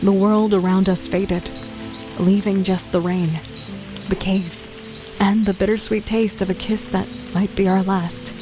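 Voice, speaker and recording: A woman narrating an audio drama in the first person, steady, weary and understated. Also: mid-range voice, measured pace. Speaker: narrator and lead. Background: music.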